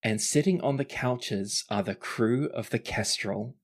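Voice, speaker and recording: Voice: mid-range voice, unhurried, loud music behind the voice. A game master and host narrating a tabletop roleplaying podcast in a descriptive way, sometimes self-correcting.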